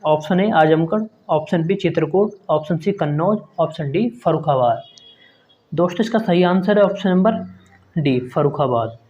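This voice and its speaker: A man's voice: fairly high pitch, normal speed, a little mellow. A man teaching an exam prep lesson, reading quiz questions and answer options aloud and often addressing his viewers as friends. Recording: dull lo-fi sound.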